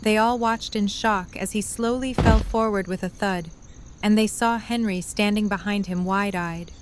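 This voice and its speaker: A woman's voice: medium pitch, fairly even intonation, normal speed, a little hiss or hum. A woman reading scripted story narration as the narrator of an audio drama.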